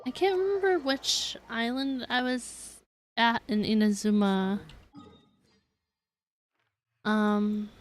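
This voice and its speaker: A woman's voice: on the high side, takes it slowly, pauses often. A woman game streamer giving chatty, informal, self-deprecating live commentary as she plays. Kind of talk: casual live gameplay commentary.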